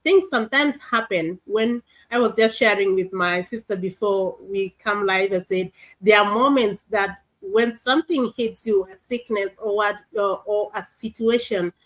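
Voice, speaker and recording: A woman's voice: mid-range voice, measured pace, rough recording. Earnest woman hosting. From South Sudan. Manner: earnest, repeats words for emphasis. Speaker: host. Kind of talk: livestream interview.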